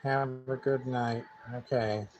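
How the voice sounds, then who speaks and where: A man's voice: medium pitch, steady tone, takes it slowly, darkish tone. A man hosting a casual livestream demonstration, thinking aloud in a hesitant, rambling, muttering way. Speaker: livestream host, CB radio repairer. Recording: clear background noise.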